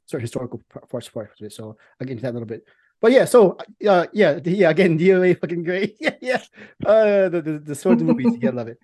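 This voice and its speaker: A man co-hosting a casual podcast discussion, rambling and halting, often repeating words and swearing now and then. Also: a little high, warm tone. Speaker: podcast co-host. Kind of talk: casual film and game discussion.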